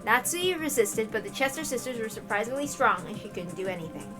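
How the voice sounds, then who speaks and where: A woman narrates a visual novel in a fairly high voice. Her reading is expressive, broken by casual asides, with music faintly playing behind her. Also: fast talker.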